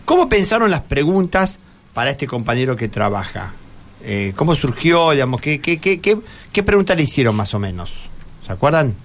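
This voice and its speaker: A man's voice: on the high side, big pitch swings, normal speed, a little hiss or hum. An Argentine man hosting a casual radio interview, conversational, often rephrasing and checking in with his guests. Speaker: radio host interviewing students. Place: radio studio.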